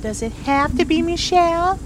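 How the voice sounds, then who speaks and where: An exasperated rover driver, swinging pitch. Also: female voice, animated, moderate pace. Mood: resentful. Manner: irritated, sarcastic, muttering to herself. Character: exasperated, sweary woman rover driver searching alone. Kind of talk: naturalistic audio drama acting. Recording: clear vehicle noise, rover cabin ambience.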